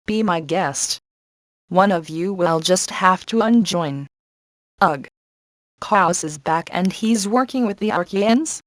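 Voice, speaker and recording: A woman's voice: mid-range voice, no room sound, close to an everyday voice. A confident young adventurer woman played by an actress guides the mission in a cartoon game. She is sassy, wry and encouraging, recorded close and dry with no room sound. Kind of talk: video game character acting.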